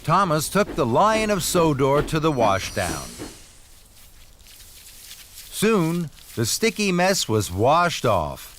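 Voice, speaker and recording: A man narrating a scripted children's story in an expressive storytelling manner. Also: fairly high pitch, slow, long pauses, slight background noise.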